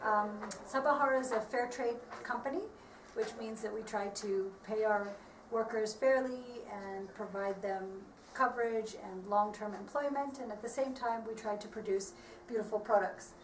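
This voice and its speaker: A woman's voice: on the high side, moderate pace. A woman working as a marketing advisor, explaining things earnestly and steadily in an interview, with frequent ums and restarts. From United States. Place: workshop premises. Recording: echoey room, voice from far off, faint background noise.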